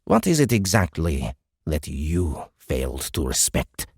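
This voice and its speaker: An actor playing a stern, sardonic senior male alien officer in an audiobook, with a gravelly rasp and a deliberate, cutting delivery. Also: very deep voice, huge pitch swings, no room sound, clean studio sound, full character voice. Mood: cold reprimand. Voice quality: gravelly, raspy. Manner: deliberate, cutting, drily mocking. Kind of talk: audiobook character acting.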